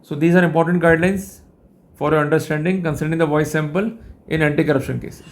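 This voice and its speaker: A man's voice: on the high side, fairly even intonation, fast talker, a little hiss or hum. A man with an Indian accent giving a legal lecture in a formal, wordy, didactic way. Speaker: legal educator and host.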